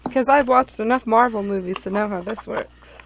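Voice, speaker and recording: Higher-voiced woman on a game stream. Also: brisk, gravelly, poor audio. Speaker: player in a group gaming stream. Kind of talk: reading visual novel dialogue aloud and casual chat.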